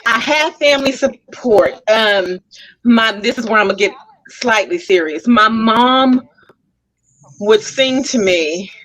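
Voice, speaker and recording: A woman from Texas, a stage actress guest, answering conversationally in a droll, theatrical and deliberate manner. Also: a little high, a bit bright, no echo, rough recording. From Texas, United States.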